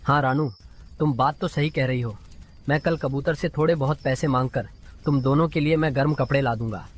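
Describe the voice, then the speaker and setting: A caring, gentle cartoon bird voiced by a woman. The voice is very low and earnest, made for an animated story. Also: a little fast, a little hiss or hum.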